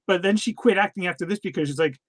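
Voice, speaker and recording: A high-pitched man co-hosting a casual podcast, his voice dry with no room sound around it. He passes along film trivia in a relaxed, hesitant way, leaning on uhs and ums. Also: quick pace, no room sound. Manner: laid-back, sharing trivia with hesitant fillers. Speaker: podcast co-host. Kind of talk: casual film review chat.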